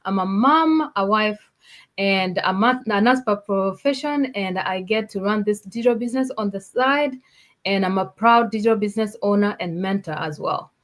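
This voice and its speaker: A woman from Kenya co-hosting a live online talk, speaking in a polite, steady way. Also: mid-range voice, normal speed. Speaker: co-host. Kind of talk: live stream introduction.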